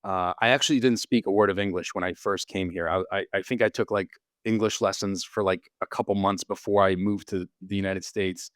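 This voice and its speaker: Low-voiced man, rambling with restarts. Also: fairly even intonation, a little fast, hardly any pauses, no room sound, studio quality. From Israel. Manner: rambling, frequent restarts and repeated words. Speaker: podcast guest, surgeon. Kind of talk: casual interview conversation.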